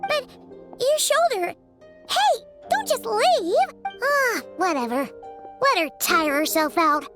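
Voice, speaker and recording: A woman's voice: very high voice, very animated, takes it slowly, a bit bright, full character voice. A woman voicing a tiny childlike girl companion in a video game, bubbly, cheeky and given to exclaiming. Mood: concerned, huffy. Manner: speaks of herself in third person, exclamatory. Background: background music.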